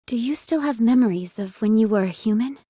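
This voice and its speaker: An actress playing a composed, dutiful young android squad leader in an anime game, speaking softly in a polite, reserved, formal way. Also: female voice, on the high side, lively intonation, fairly quick, dry recording, clean studio sound.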